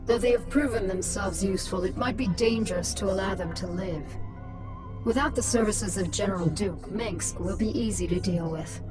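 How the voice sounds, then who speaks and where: A woman's voice: medium pitch, normal speed, far from the mic, over music, close to an everyday voice, low-quality recording. An actress playing a ruthless, scheming alien queen who speaks in a menacing, mocking, commanding way in a video game. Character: ruthless, scheming alien swarm queen, once human, cold and gloating. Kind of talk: dramatic video game voice acting.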